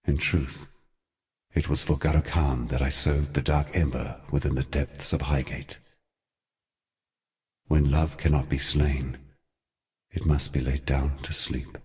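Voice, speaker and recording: A man's voice: rumbling low, level tone, measured pace, long pauses, a little mellow. A man voicing an ancient, sorrowful god in a fantasy game, speaking in a solemn, eloquent and theatrical way. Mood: mournful. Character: ancient male god, grave, sorrowful, brooding mentor. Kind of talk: scripted fantasy game monologue.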